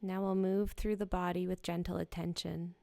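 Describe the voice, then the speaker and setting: A woman guiding a yoga nidra body scan in a gentle, soothing, unhurried manner with short, spaced phrases. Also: fairly low pitch, little pitch movement, gravelly, no echo, studio quality. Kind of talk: guided meditation, slow body scan.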